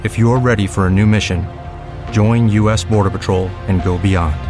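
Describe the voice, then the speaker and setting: A man's voice: lowish voice, steady tone, moderate pace, music in the background. A man with an American accent reading a scripted recruitment advertisement. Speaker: advertisement announcer.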